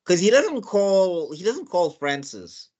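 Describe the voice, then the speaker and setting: A man's voice: sits high, big pitch swings, slow, a little mellow. A man casually recapping a TV episode as co-host, rambling with lots of fillers and restarts. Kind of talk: casual chat.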